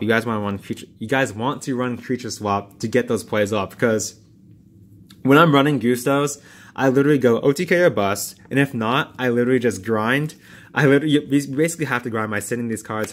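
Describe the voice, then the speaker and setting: A man casually talking through trading cards in a long vlog, rambling with many fillers and restarts, his voice strained from talking so long. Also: mid-range voice. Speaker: card game YouTuber. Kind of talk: casual card review vlog.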